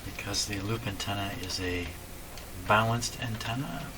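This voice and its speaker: A man with a fairly low voice talks casually through a hands-on repair, musing and putting questions to himself. Loud static and hum run behind his voice. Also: normal speed. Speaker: radio repair hobbyist, presenter. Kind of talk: casual hands-on demonstration, thinking aloud. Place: indoor radio workshop.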